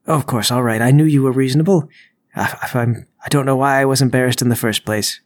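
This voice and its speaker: A man voicing a nervous burglar in a tabletop game, halting and stammering as he bluffs and over-explains. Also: medium pitch, fairly even intonation, lightly acted. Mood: relieved.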